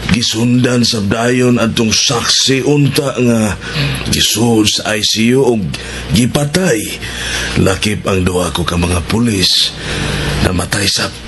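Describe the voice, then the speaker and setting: An actor playing a solemn, deliberate police chief in a radio drama. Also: male voice, mid-range voice, background noise. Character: grave male police chief leading the force. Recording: loud music bed.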